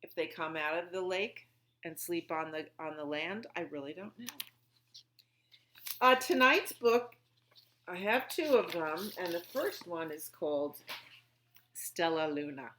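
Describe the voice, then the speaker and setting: A woman hosting a library storytime for young children, speaking in simple phrases with questions and pauses as she chats and reads aloud. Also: fairly low pitch, a bit bright, slight background noise. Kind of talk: children's storytime with songs, rhymes and read-aloud.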